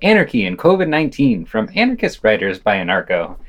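A man's voice: medium pitch, huge pitch swings, brisk, no room sound. A man reading scripted essays and news commentary as a podcast host.

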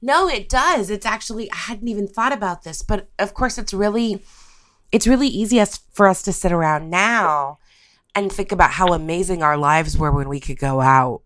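A woman's voice: medium pitch, moderate pace, a bit bright, no echo. A woman hosting a podcast, talking through a topic. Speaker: podcast host.

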